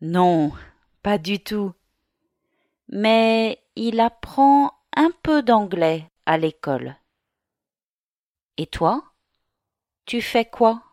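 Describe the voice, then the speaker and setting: A woman reading the part of a young Englishwoman heading to work as an au pair, in a slow learner's dialogue. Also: mid-range voice, big pitch swings, darkish tone, no echo, studio quality, exaggerated voice. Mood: curious. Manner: slow, carefully articulated reading.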